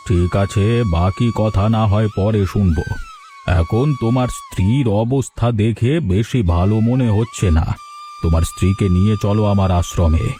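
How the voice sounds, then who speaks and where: Cartoon holy hermit, male actor: low-pitched, calm, self-assured, protective, over prominent music. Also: moderate pace. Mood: concerned, questioning. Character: kindly, self-assured holy hermit who shelters the helpless. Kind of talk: Bengali cartoon voice acting. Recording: music playing under the voice.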